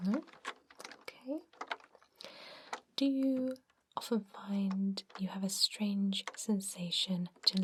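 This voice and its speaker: Woman doing friendly vampire doctor asmr, soft whispers, really unhurried, bit of hiss behind her. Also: medium pitch, close to an everyday voice. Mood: gently inquiring. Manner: whispered, gentle, hesitant pauses. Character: friendly female vampire doctor, encouraging, softly attentive. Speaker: ASMR roleplay performer. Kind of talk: whispered ASMR medical exam roleplay.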